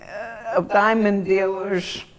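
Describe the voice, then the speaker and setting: An elderly woman from Antwerp whose first language is German, reminiscing in an interview and searching for her words with long pauses. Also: mid-range voice, steady tone. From Antwerp, Belgium.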